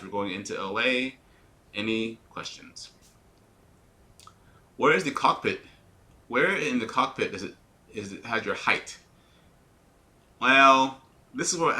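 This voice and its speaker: A man's voice: lowish voice, pushed and bright. A man, an airline pilot, commenting live on a flight simulator, talking in a put-on radio-announcer voice and explaining each checklist step to viewers with small stumbles and restarts. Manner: occasional small repetitions and restarts.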